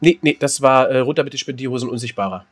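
A man co-hosting a casual comedy podcast, joking and animated, often restarting his sentences. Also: mid-range voice, fast talker. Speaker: podcast co-host.

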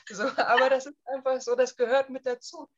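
A woman's voice: high voice, a little fast. A woman from Germany in her thirties, chatting casually as an interview guest with lots of fillers. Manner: chatty, many fillers, lively retelling. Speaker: guest, emigrant. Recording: slightly dull lo-fi sound.

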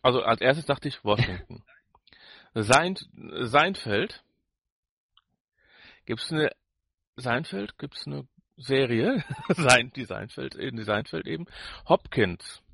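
A man with a medium-pitched voice chats as a podcast co-host. He speaks casually and haltingly, doubling back on words, in a dry sound with no room echo. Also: lively intonation, gravelly, fairly bright tone. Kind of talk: casual chat reviewing an audio drama.

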